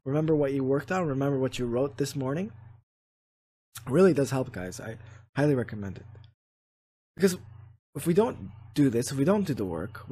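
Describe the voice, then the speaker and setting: Husky guy talking to his channel, earnest and reflective, lots of "you know". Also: medium pitch, mellow. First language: Polish is not his first language. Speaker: channel host. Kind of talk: casual spiritual vlog talk.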